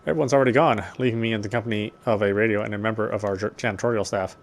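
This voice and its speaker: Low-voiced man reading a story aloud. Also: fairly even intonation, rapid-fire. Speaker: game player reading story text aloud. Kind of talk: dramatic read-aloud narration of a visual novel.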